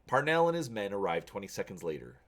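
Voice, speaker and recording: A man's voice: fairly low pitch, big pitch swings, fast talker, fairly bright tone. A man hosting a channel, talking casually to viewers and reading an adventure story aloud with drama.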